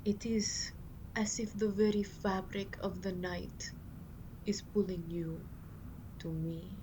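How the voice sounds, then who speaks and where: A woman playing a seductive, imperious immortal vampire queen with a put-on accent, speaking in breathy, formal, deliberate phrases for an ASMR roleplay. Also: medium pitch, little pitch movement, close to an everyday voice. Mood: intense, seductive. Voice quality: breathy, often dropping to a whisper. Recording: clear background ambience noise.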